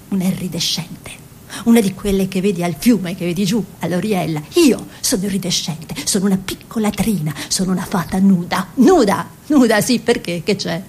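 A woman playing a dreamy, proud young peasant girl in a theatrical radio monologue, speaking in short incantatory phrases with hushed repetitions. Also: natural voice. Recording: noisy recording with low rumble.

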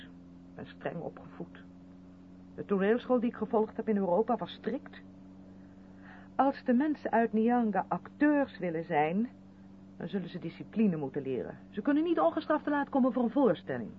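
A woman's voice: mid-range voice, sing-song, normal speed, close to an everyday voice. An actress playing a strict, earnest, insistent woman in a radio play, with a probing and emphatic way of speaking. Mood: stern, insistent. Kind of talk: 1970s Dutch radio play acting. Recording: noisy, tinny band-limited old radio recording.

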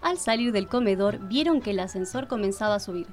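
A woman's voice: medium pitch, animated, brisk, faint music behind. A woman with an Argentine accent reading scripted narration for a radio play.

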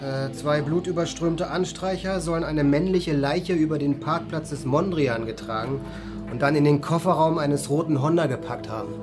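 A man's voice: a little high, moderate pace, music in the background, lightly acted. An actor in a radio drama playing a businesslike man, a police officer reporting in a matter-of-fact way. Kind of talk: modern radio drama acting.

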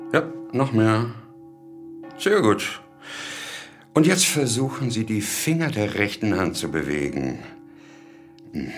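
Radio drama doctor: unhurried, clinical, lowish voice, pausing between instructions, faint music behind. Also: male voice. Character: calm, methodical male hospital doctor examining patients. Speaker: actor.

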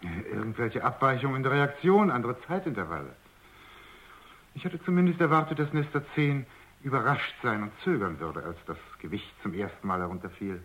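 An actor playing a skeptical, sardonic research scientist in a radio drama, wry and argumentative. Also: male voice, mid-range voice, animated, a little fast, fairly bright tone, close to an everyday voice. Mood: wry, concerned. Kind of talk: German radio drama acting. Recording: muffled, dull radio drama recording.

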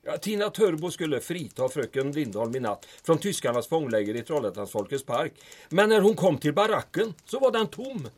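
A man's voice: fairly high pitch, sing-song. En man som spelar en högtidlig svensk ämbetsman. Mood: grave. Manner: formal, grave, indignant. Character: worried stiff Swedish official, male, dutiful. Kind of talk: audio drama acting.

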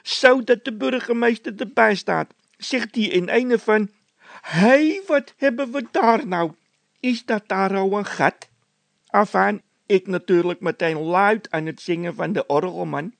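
A hot-headed, scornful workman from a comic storybook, acted out by a man. The voice sits very high and pushes out hard in indignant, scolding bursts. It sounds close and dry. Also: unhurried, creaky, no room sound. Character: hot-tempered, mocking workman with a scolding streak. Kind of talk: character voice in a read-aloud comic story.

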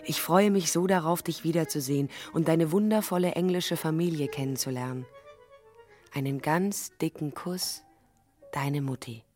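An actress playing a tender, loving mother in a German radio drama, speaking in a gentle, measured way. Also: low voice, close to an everyday voice. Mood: fond, longing. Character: tender, loving German mother addressing her young daughter. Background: music.

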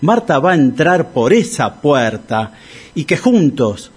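Theatrical, self-pitying Argentine loser in comic radio drama; husky, swooping delivery. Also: male voice, fairly high pitch, big pitch swings, slow pace, exaggerated voice. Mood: dreamy, wistful. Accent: Argentine Rioplatense. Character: Tito, a melodramatic debt-ridden man pining for his ex-wife.

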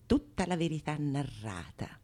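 A woman's voice: low-pitched, animated, unhurried, dark tone. A woman playing a sly, bawdy schemer in a Renaissance comedy monologue, teasing and conspiratorial in old-fashioned theatrical language. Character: sly bawdy scheming go-between, plotting pranks on a foolish lover. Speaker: stage actress performing all parts.

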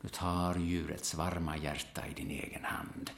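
A man playing a gruff, solemn, seasoned hunter who instructs and commands in an audio drama. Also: rumbling low, level tone, a bit bright, reverberant, heavily acted. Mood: reverent, hushed.

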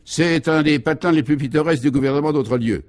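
A man's voice: on the high side, brisk, pitched above the performer's own voice, natural voice. A man acting out an assured, sententious driver in a comic radio serial, explaining absurdities matter-of-factly. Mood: enthusiastic.

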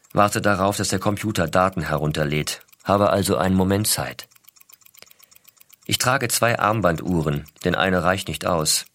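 A man playing an astronaut father reading his letters to his young son, measured and intimate, explaining things simply as to a child. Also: low-pitched, bright, forceful tone, no room sound. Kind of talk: scripted narration, letters read aloud.

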